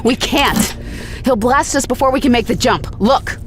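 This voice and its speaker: An actress playing a determined, anxious young spaceship captain in an audio drama, urgent and thinking aloud. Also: female voice, on the high side, moderate pace, echoey room, loud music behind the voice, lightly acted. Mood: desperate.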